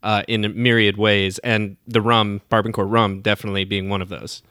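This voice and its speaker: Male podcast co-host, low-ish voice, short chatty comments, dry sound. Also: flat delivery, fairly quick, bright, forceful tone, no room sound. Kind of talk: interview chat.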